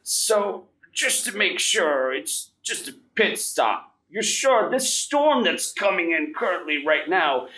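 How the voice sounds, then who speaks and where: A jittery old professor with a thin, high voice that flutters up and down, as a game master puts him on. He fusses and chortles in a lively, anxious way. The sound is roomy and a little distant. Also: slow pace, few pauses, full character voice. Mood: fretful. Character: fussy, nervous old male professor. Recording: echoey room, mic at a distance.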